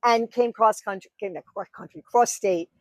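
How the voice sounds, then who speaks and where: A woman's voice: medium pitch, normal speed, no room sound, clean studio sound. A woman in her sixties with a New York accent, telling her story as a wedding officiant on a podcast, chatty and full of fillers. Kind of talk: podcast interview.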